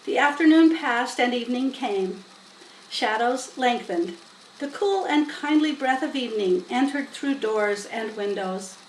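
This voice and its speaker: A woman's voice: fairly high pitch, animated, measured pace. A woman narrating a children's story expressively as a storybook reader. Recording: roomy, distant mic, faint noise.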